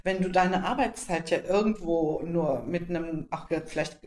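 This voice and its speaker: Interviewer asking long questions, echoey room. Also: female voice, lowish voice, moderate pace, darkish tone.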